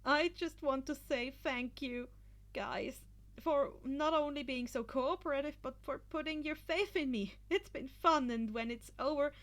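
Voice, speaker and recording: A woman's voice: high voice, a little slow, pitched above the performer's own voice. A woman voicing an earnest, determined teenage boy who leads his team with sincere urgency in an anime fan story. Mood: grateful.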